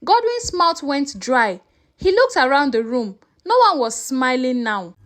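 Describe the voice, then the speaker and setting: A woman's voice: high voice, animated, unhurried. A woman storyteller narrating a scripted story in a dramatic way.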